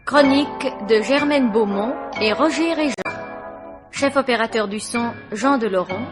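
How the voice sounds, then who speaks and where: A woman's voice: a little high, unhurried, music in the background. A woman announcer reading scripted programme credits in a vintage radio broadcast.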